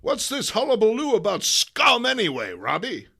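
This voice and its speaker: A man's voice: high-pitched, huge pitch swings, normal speed, creaky, pushed and bright, breathy edge, voice pushed up, full character voice. A man voicing a blustering, short-tempered newspaper publisher who barks exclamatory orders, in a comic book reading. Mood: irate.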